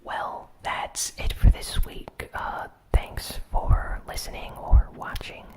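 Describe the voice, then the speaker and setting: A person whispering a casual ASMR gaming news recap in a breathy, unvoiced, soft-spoken and informal way. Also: takes it slowly. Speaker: host of a gaming news show.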